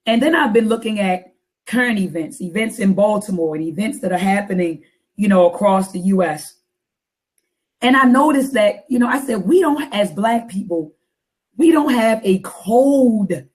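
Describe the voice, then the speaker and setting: A woman hosting a casual talk show, her deep voice raspy and hoarse after coughing, repeating phrases for emphasis. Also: animated, moderate pace, a little mellow. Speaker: host.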